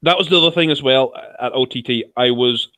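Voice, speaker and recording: A man co-hosting a podcast, chatty and quick to react. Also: medium pitch, bright, forceful tone, a little hiss or hum. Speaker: podcast co-host. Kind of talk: casual chat.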